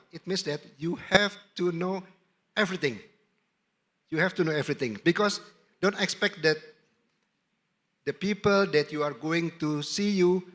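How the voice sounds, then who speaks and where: High-voiced Indonesian man, diplomat. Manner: repeats key phrases for emphasis. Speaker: diplomat speaking at a summit. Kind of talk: anecdotal talk with audience Q&A.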